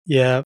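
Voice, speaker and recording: A man hosting a design podcast, reading a prepared introduction and then chatting casually and jokingly with his guest. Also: no room sound. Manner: jokey, playful asides. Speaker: podcast host. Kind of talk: scripted intro then casual interview chat.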